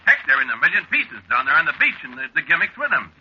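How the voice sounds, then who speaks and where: An actor in a vintage radio drama playing a tiny, excitable, flustered little man with a raspy, piping voice. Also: on the high side, fast, a little hiss or hum. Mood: sheepish, exasperated. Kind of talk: 1940s radio drama acting. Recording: muffled old radio recording.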